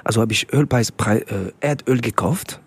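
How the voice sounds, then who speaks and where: A man's voice: medium pitch, moderate pace, slightly rough. A man who grew up with French as his first language, a comedian and actor chatting casually in an interview, with many restarts and fillers. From half French, half Tunisian, raised in Germany.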